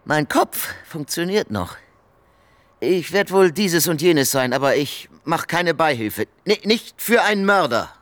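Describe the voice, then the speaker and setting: Slow, theatrical streetwise beggar. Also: male voice, fairly high pitch, animated, a bit bright, no room sound. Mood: wary, agitated. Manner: theatrical, colloquial, rambling. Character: talkative streetwise homeless beggar, wheedling and sly. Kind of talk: German radio crime drama acting.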